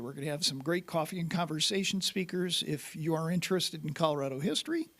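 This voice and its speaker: A man's voice: on the high side, fairly bright tone. A man hosting a museum talk series, upbeat as he announces events and introduces the guest speaker. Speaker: event host.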